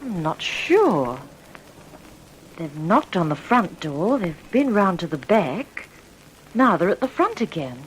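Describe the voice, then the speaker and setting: A woman playing a watchful, reassuring mother of a small boy in a radio drama, deliberate and soothing in manner. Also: on the low side, big pitch swings, a little hiss or hum, rough recording.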